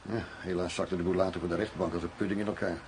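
Casting: actor for wry middle-aged undercover cop, very low male voice, measured, world-weary, radio drama with slight background noise. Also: little pitch movement, darkish tone, close to an everyday voice. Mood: weary, reflective. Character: weary, wry greying German undercover police commissioner. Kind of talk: Dutch radio drama acting. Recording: noisy radio play recording.

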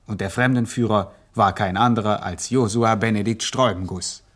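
An actor as the urbane, wry narrator of a satirical radio play, reading scripted narration. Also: male voice, on the low side, steady tone, moderate pace, fairly bright tone.

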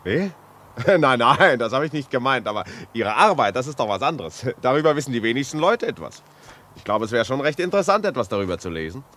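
Lively, breezy, curious journalist. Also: male voice, medium pitch, fast. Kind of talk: German radio play acting.

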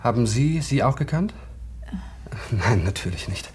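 An actor playing a blunt, brooding local man in a radio drama, direct and a little halting. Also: mid-range voice, animated, a little mellow, breathy edge. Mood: wary, brooding. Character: blunt, brooding male local acquaintance, questioning. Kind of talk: German radio drama acting.

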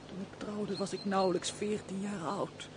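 An actress playing a remorseful young runaway wife in a radio drama, speaking in a solemn, tearful, melodramatic way. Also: female voice, medium pitch, fairly even intonation, normal speed, background noise, close to an everyday voice. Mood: sorrowful, bitter. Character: remorseful young runaway wife, grief-stricken and self-punishing. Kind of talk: theatrical radio drama acting.

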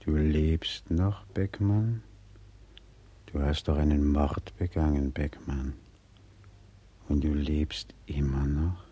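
Male ghost of a drowned man, reproachful, bottomless pitch, dark timbre, old radio drama. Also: steady tone, heavily acted. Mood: slow accusation. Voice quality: very deep, husky, breathy at times. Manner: slow, deliberate, quietly accusing. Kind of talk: 1940s German radio drama acting. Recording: old 1940s radio recording.

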